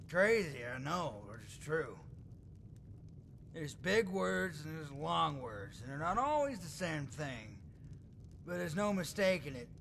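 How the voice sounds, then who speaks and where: A man's voice: on the high side, vocal fry, fairly bright tone, a little hiss or hum, full character voice. A man playing a crude, lecherous drunken tavern patron in a video game, boastful, sardonic and blunt. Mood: mock-scholarly, sarcastic. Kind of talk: video game character dialogue.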